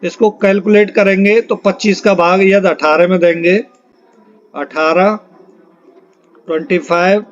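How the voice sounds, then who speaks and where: A high-voiced man leads a quiz-style exam lesson. He reads each item out in an instructional way and repeats key points. The recording sounds dull and lo-fi. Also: fairly even intonation, a little slow, long pauses. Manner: instructional, repeats questions and answers for emphasis. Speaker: exam-prep physics teacher. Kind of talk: question-and-answer lesson, reading out questions and options.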